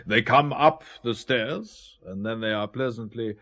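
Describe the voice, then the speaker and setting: An actor playing a suave, menacing Italian count and shady art dealer in a spy thriller audio drama, urbane, deliberate and guarded. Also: male voice, mid-range voice, a bit bright. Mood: smooth politeness.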